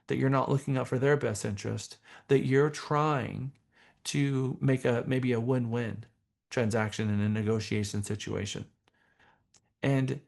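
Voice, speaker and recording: A man teaching a professional class as a trainer, speaking in a deliberate, emphatic way with rhetorical questions. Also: medium pitch, creaky, a little mellow. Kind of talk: motivational training seminar talk.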